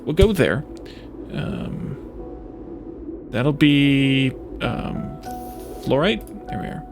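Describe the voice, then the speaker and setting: A man's voice: mid-range voice, animated, very slow, creaky, music in the background. A man giving casual let's play commentary, thinking aloud in a hesitant, self-questioning way.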